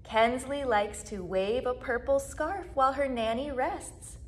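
A woman in her twenties, a children's music educator, reading a story aloud to young children with animated, sing-song delivery and drawn-out words. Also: sits high. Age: 20s. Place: small plain indoor room, seated.

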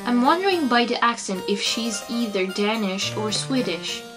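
A woman's voice: a little high, animated, normal speed, over music. A young woman answers viewer comments in a casual, rambling vlog, sounding exasperated and full of fillers. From Romania. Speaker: toy video maker answering comments.

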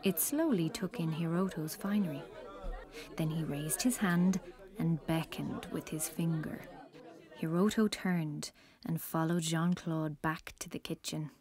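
An actress as the narrator, reading scripted story narration in a children's audio drama. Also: female voice, low-pitched, unhurried, darkish tone. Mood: storytelling. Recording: faint crowd behind the narration.

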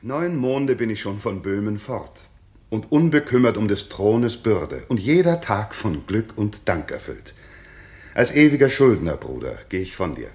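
A man's voice: lowish voice, big pitch swings, measured pace, a little mellow. An actor playing a courteous visiting king in a 1960s German radio drama, speaking formal, eloquent verse. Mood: grateful. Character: courteous visiting king of Bohemia, warm old friend. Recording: roomy 1960s radio studio.

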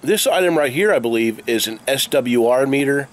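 A man's voice: mid-range voice, expressive, moderate pace. An American man in his forties talking casually to the camera in a plainspoken, matter-of-fact way, as a reseller vlogger. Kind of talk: casual vlog talk to camera. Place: open garage doorway, outdoors. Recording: clear outdoor background noise.

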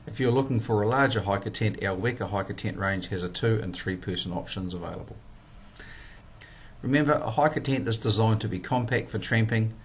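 A man, presenting a product in a measured, scripted voice-over. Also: lowish voice, husky. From New Zealand. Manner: measured, plain instructional delivery.

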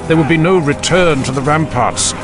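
A man narrating a dramatic fantasy audiobook in a grave, deliberate manner. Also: fairly high pitch, over music.

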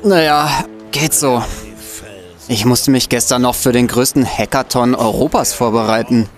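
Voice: medium pitch, fairly even intonation, a little slow, faint music behind, close to an everyday voice. An audio-drama performer playing a boastful, geeky student hacker, an eager and cocky explainer. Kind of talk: German audio drama acting.

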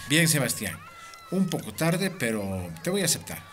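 A man's voice: mid-range voice, very animated, moderate pace, fairly bright tone. A man acting a dismissive, prejudiced male schoolteacher in a radio drama. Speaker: teacher.

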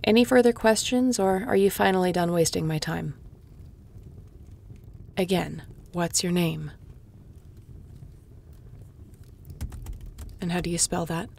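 Grumpy low-voiced shapeshifter woman, acted, gravelly. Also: fairly even intonation, normal speed, darkish tone, a little hiss or hum. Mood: exasperated, sarcastic. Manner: curt, sarcastic, dry, weary. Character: grumpy, tough female shapeshifter guard, begrudgingly caring. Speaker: audio roleplay performer. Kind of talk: intimate audio roleplay acting.

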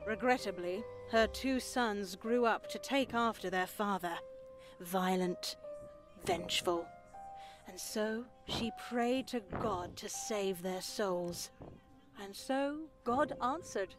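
Woman acting a slow, stern elderly nun. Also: mid-range voice, music in the background, close to an everyday voice. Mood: solemn. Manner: measured, formal, sanctimonious. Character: stern, pious elderly nun, coolly reproachful. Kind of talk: visual novel game voice acting.

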